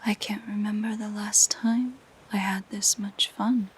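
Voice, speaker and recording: Breathy, whispering siren played by a woman. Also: mid-range voice, fairly even intonation. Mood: musing delight. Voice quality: soft, breathy, mostly whispered. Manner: slow, gentle, musing delivery. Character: possessive, curious female siren, sweetly entranced by humans. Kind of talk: intimate audio roleplay, ASMR.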